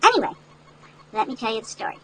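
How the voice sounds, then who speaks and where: Older female storyteller for children's picture book: high-pitched, very animated, folksy asides, faint background noise. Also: fast, poor audio. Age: older, great-grandmother. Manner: folksy, explains words to young children. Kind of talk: animated read-aloud with chatty asides. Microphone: headset.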